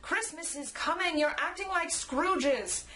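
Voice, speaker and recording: Woman as high, hollering, scolding patriotic uncle. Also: measured pace, pushed and bright, exaggerated voice. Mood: exasperated. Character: exasperated, scolding male Uncle Sam.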